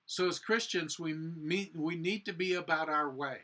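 A man in his sixties preaching and praying as a pastor, earnest and deliberate, in short measured phrases. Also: on the high side, bright, forceful tone. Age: 60s. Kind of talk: sermon and prayer. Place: indoor room with a cross, small chapel or office.

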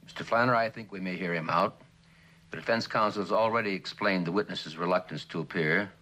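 Firm older judge. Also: male voice, deep voice, brisk, sharp tone. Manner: formal, firm rulings. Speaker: actor as judge. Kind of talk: 1960s television courtroom drama acting. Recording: noisy old television soundtrack.

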